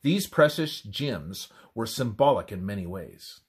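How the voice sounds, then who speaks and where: A man reads a scripted religious text aloud in a measured, deliberate manner, pausing between phrases. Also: fairly low pitch, very animated, slightly rough. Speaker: reader of a religious presentation. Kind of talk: scripted devotional narration, read aloud.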